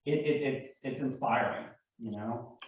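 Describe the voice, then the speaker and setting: Very echoey distant recording, man, mid-pitched, halting, casual talk, searching for words. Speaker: guest, LARP player. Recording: very echoey room, distant dull mic.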